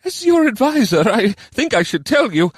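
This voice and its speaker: A man voicing a nervous male goblin advisor in an audiobook, squeaky, servile and prone to stammering. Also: sing-song, moderate pace, breathy edge, dry recording, clean studio sound, heavily acted. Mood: timid. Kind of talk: audiobook character voice.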